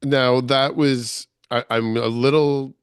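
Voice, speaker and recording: A man hosts a relaxed interview, asking his questions hesitantly with small restarts. His voice is smooth and clear, mid-pitched, and recorded dry with no room sound. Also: slow, no echo. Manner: hesitant, many ums and restarts. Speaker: interview host. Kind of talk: casual interview.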